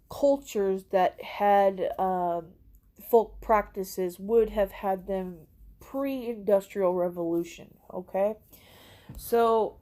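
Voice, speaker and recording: A woman with a stuffy, congested nose hosts a relaxed explainer. Her voice is mellow and warm in tone, mid-pitched, and she keeps checking in with her listeners as she goes. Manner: chatty, frequent "okay?" tag questions. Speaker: host. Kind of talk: casual educational monologue.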